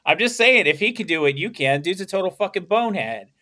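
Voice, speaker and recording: A young man hosting a podcast, his voice sitting a little high for a man. He rambles through jokes and swears freely, laughing often as he goes. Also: animated, fast talker, bright, forceful tone. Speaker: podcast host. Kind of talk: casual comedic podcast banter.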